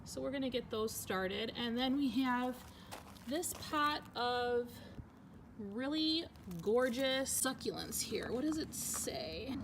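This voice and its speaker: A woman's voice: on the high side, animated, slow pace. A woman hosting a casual, chatty hobby vlog, talking informally and gushing as she goes. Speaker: vlog host. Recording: clear background noise.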